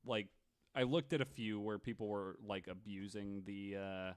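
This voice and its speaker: A man's voice: fairly low pitch, fairly even intonation, moderate pace, smooth, no echo. A man chats as a podcast co-host in a very dry recording. He is informal and jokey, and swears easily as he riffs. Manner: joking, profane. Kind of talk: casual chat.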